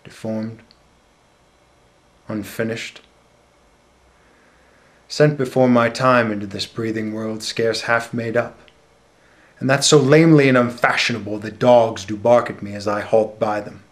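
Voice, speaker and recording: A man playing a bitter, scheming, deformed royal villain in a deliberate Shakespearean stage monologue. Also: lowish voice, fairly even intonation, natural voice. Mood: bitter self-loathing.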